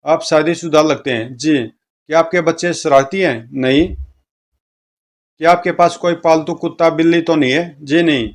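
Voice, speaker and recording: Guy reading out comic strips, does all the dialogue himself, voice a bit on the high side. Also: moderate pace. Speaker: comic book reader, narrator.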